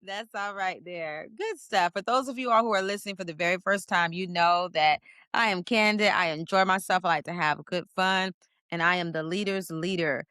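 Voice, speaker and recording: A woman hosting an interview podcast, speaking with energetic, emphatic, preacher-like emphasis. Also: fairly low pitch, moderate pace, clear, resonant tone, no room sound, clean studio sound. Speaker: podcast host.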